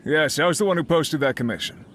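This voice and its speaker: An actor playing an uneasy, earnest miner who leads a crew, explaining things in video game dialogue. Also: male voice, a little high, fast talker, a bit bright, a little hiss or hum, lightly acted. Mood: friendly. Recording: game music behind the voice.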